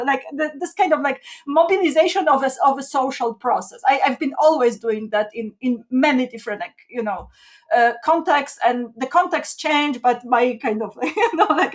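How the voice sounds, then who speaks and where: Woman guest from Poland, high voice, halting speech full of restarts and fillers. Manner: halting, many restarts and fillers, laughs often. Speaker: guest social scientist. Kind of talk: casual interview conversation.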